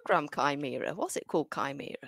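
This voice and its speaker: A woman's voice: low-pitched, lively intonation, creaky, fairly bright tone. Woman on a podcast butting in teasingly, voice jumps around, bit far from the mic. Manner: quick interjections, teasing. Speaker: podcast co-host. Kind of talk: casual comedic chat.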